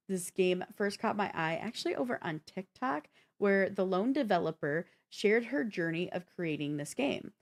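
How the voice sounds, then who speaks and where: A woman co-hosting a video game podcast, chatting casually and explaining things in an informal way. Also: medium pitch. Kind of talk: casual gaming talk show chat.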